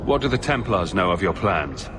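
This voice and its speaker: An actor voicing a pragmatic, businesslike adventurer with a terse, direct delivery in a fantasy video game. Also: male voice, low voice, big pitch swings, normal speed. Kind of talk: dramatic video game voice acting. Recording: reverberant hall, clear background noise.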